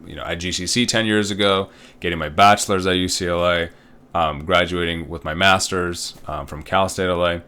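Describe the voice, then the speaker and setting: Low-voiced casual college lecturer. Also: male voice, steady tone, normal speed, bright, forceful tone, clear, resonant tone. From Uzbekistan. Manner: casual, rambling, with many fillers and tag questions. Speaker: college history instructor. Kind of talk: recorded online course walkthrough.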